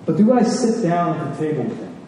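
A man's sermon carries through a very echoey hall, picked up at some distance from the microphone. His voice sits a little high with a soft, mellow tone. He teaches earnestly, leaning on rhetorical questions and repeated phrases for emphasis. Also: sing-song, quick pace. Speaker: preacher. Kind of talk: sermon, teaching scripture to a congregation. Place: large reverberant room. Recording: echoey hall, distant mic, faint noise.

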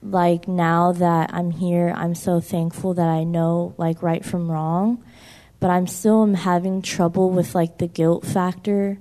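A woman's voice: fairly low pitch, little pitch movement, slow, mellow. A young woman sharing in a church group, speaking hesitantly with many "like" fillers. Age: young adult. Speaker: church member.

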